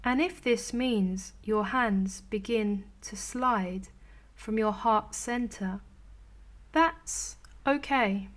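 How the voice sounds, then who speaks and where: A woman leading a guided meditation in a soothing, gentle, unhurried manner with long pauses between phrases. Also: fairly high pitch. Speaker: meditation teacher.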